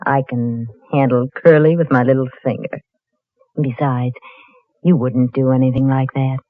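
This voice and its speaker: An actress playing a scheming, brassy young wife with a cynical, taunting manner in old-time radio drama style. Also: female voice, very deep voice, flat delivery, a little slow, no echo. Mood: cool, defiant. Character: hard, scheming young femme fatale wife. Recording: thin, phone-like old radio recording.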